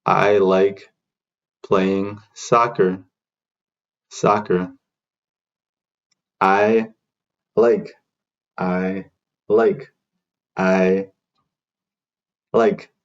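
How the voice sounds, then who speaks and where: A man teaching beginner English, repeating short phrases slowly for learners to copy. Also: deep voice, flat delivery, dull audio. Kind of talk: slow repeat-after-me drill.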